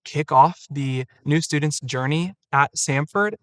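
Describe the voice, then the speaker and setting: A man's voice: a little high, a little slow, fairly bright tone, no echo. Young man, student guest, orderly explainer, dry close sound. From Atlanta, Georgia. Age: young adult. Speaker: student event coordinator. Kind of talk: interview answers.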